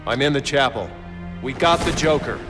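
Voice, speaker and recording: An actor playing a weary, earnest middle-aged police captain, grave and sincere, in a video game drama. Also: male voice, mid-range voice, normal speed, natural voice. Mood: firm. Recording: music behind.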